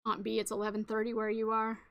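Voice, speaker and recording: A woman around forty with a raspy, fairly low voice, hosting a casual craft livestream and chatting with viewers in a rambling way with lots of ums. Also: completely flat delivery, fast talker, dull audio. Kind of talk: casual live chat answering viewers.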